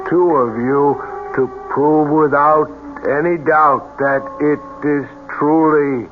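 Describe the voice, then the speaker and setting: An actor playing a frail, solemn holy man who holds seances, speaking haltingly and portentously in a radio drama. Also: on the high side, fairly even intonation, soft background music. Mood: solemn, strained. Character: solemn, frail holy man, fake spirit medium in trance. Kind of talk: old-time radio drama acting. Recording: noisy, tinny old radio broadcast.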